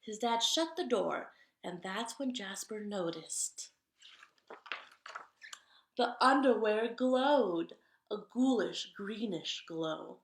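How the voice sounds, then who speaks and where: A woman reading a picture book aloud to young children, expressive and chatty, with asides to her listeners. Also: medium pitch, slow, pauses often, echoey room, slight background noise. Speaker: read-aloud storyteller.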